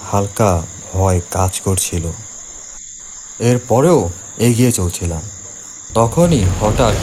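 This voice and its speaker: A man narrating a scripted first-person horror story for an animated cartoon, in a dramatic storytelling manner. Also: lowish voice, noisy background.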